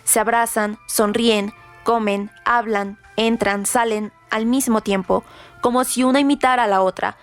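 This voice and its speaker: A woman reading scripted literary trivia in a measured way, as a radio presenter. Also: on the high side, fairly even intonation. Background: background music.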